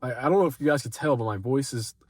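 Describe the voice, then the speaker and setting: Young male vlogger with a head cold, nasal and slightly raspy, mid-pitched, dry close sound, loose rambling delivery with casual cursing. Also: fast, no room sound. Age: young adult. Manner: rambling, laid-back, swears often, lots of "like". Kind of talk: casual motivational vlog.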